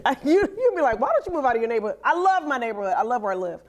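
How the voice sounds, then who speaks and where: Here is a woman host with a raspy, fairly low voice that has an edge of hardness. Her voice is loud and carries, and she tells stories in a lively, animated way in casual chat. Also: rapid-fire. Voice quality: raspy, with some bass and hardness. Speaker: podcast host. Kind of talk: casual chat, telling a story.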